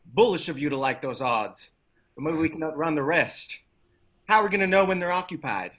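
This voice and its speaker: A man's voice: on the high side, expressive, a little fast, a bit bright. A man playing a wry, gung-ho activist in a dramatic table read, speaking in clipped, punchy phrases. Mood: sardonic, urgent. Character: McKenna, wry, gung-ho male militant activist. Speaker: actor.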